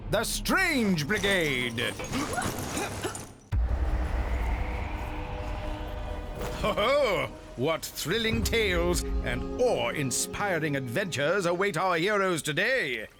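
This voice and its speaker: An actor playing a pompous, plummy British narrator of an old-time adventure serial, melodramatic and theatrical in a video game. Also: male voice, high-pitched, animated, slow, over music, heavily acted. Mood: rousing, gleeful. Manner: plummy, melodramatic, heavily alliterative delivery. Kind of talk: theatrical 1930s newsreel-style game narration.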